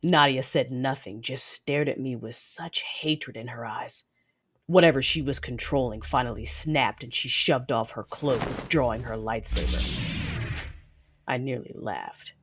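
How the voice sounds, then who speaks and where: A woman's voice: rumbling low, unhurried, husky, exaggerated voice. A woman playing a cold, vengeful female Sith lord in an audio drama, controlled and menacing as she narrates her deeds. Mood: brooding. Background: music.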